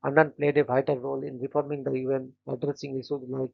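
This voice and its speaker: Flat, gravelly man reading biographies. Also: medium pitch, quick pace, narrow, tinny audio. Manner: flat, steady reading with stumbles on names. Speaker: host of a daily events channel.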